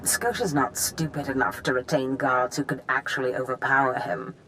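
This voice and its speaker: An actress playing a scheming, theatrical female dark-side master in a video game, knowing and conspiratorial. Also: rumbling low, fairly even intonation, moderate pace, slight background noise, poor audio. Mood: cool scheming. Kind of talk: dramatic video game acting.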